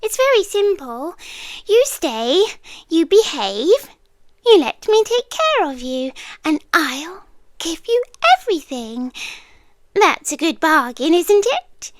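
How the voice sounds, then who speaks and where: A woman's voice: extremely high pitch, sing-song, dry recording, full character voice. A British woman playing a centuries-old possessive monster lover in an ASMR roleplay, coaxing and sweetly menacing, often slipping into a whisper. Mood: sweetly controlling. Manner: slow, hushed, coaxing, often drops into whispers.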